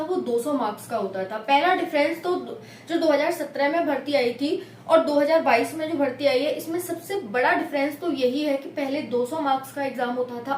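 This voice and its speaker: Female coaching teacher, high voice, mic set back from her, explains step by step. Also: slight background noise. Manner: repeats checks like "clear?" and addresses viewers as companions. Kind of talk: explaining exam rules at a whiteboard. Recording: echoey room, recorded from a distance.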